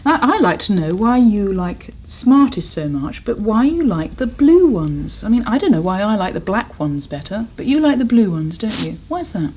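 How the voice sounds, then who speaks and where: Lively, playfully teasing British woman presenter. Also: mid-range voice, normal speed, few pauses, slight background noise. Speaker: television presenter. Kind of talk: chatty studio interview with a child.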